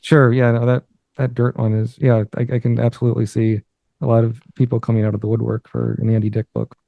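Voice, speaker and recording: A man co-hosting a podcast as a guest, chatting quickly and eagerly about what he hears. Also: mid-range voice, flat delivery, gravelly, no room sound, narrow, tinny audio. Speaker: guest co-host. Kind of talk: casual podcast commentary.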